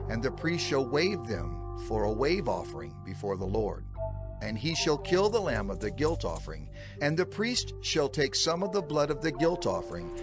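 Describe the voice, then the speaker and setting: A man reads scripture as a formal narrator, with music clearly behind him. His mid-range voice rises and falls expressively while the delivery stays measured and carefully enunciated. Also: medium pitch. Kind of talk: dramatized scripture narration, read from text.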